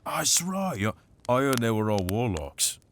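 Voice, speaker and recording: An actor playing a casually cynical knight in an audio drama, talking in an offhand, colloquial way. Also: male voice, medium pitch, very animated, unhurried, creaky, a little hiss or hum. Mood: dismissive. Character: gruff gossiping male knight, casually cynical.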